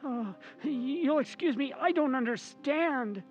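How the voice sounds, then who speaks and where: A woman's voice: high voice, animated, takes it slowly, faint music behind. A woman playing a meek, fearful henpecked husband who stammers and pleads, in old-time radio horror style. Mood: meek, nervous. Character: timid henpecked husband, fearful, meek, simple little man.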